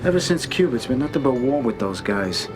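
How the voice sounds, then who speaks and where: Guy playing brash mobster sidekick in a game, slangy, voice swings up n down a lot, music loud behind. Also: mid-range voice, fairly quick, close to an everyday voice. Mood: brash, chatty. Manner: slangy, animated. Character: brash, streetwise male gangster sidekick. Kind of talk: video game cutscene acting.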